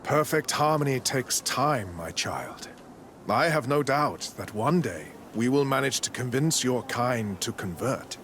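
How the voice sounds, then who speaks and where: A preachy, zealous village priest. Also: male voice, mid-range voice, animated, unhurried, a bit bright, slight background noise. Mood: smugly patient. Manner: formal, preachy, condescending. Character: zealous, smooth-talking priest leading a converts' village. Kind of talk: scripted video game dialogue.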